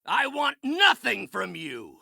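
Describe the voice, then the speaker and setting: An older male supervillain scientist from a video game, furious and paranoid. He rants theatrically in a strained, forceful shout with gravel in it. His pitch is very high and swings wildly. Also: wildly swooping pitch, takes it slowly, no room sound, clean studio sound, exaggerated voice. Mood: enraged. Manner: theatrical, ranting. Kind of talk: dramatic video game voice acting.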